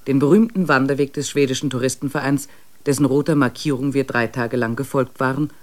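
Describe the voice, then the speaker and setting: An actor playing a reflective expedition diarist, narrating a literary travel journal in a measured way for a radio play. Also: male voice, mid-range voice, darkish tone, close to an everyday voice. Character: reflective expedition diarist, slightly uneasy before mountains.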